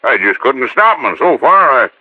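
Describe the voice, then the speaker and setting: A man's voice: mid-range voice, big pitch swings, normal speed, no echo. An actor playing a stubborn, roguish old oil man with a Western American drawl and a folksy, ornery manner in a 1950s radio drama. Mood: sly, earnest. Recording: dull, lo-fi vintage radio recording.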